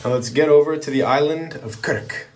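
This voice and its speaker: A man's voice: on the high side, normal speed, darkish tone, slight background noise. A man vlogging in a chatty, easygoing way while walking through old town streets outdoors, talking to the camera with plenty of fillers. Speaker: travel vlogger.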